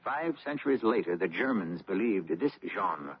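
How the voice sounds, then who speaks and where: A man hosting an old radio mystery, giving scripted narration in a measured, dramatic storytelling manner. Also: low voice, expressive, a little hiss or hum. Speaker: host and narrator. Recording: muffled old radio recording, loud music. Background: prominent music.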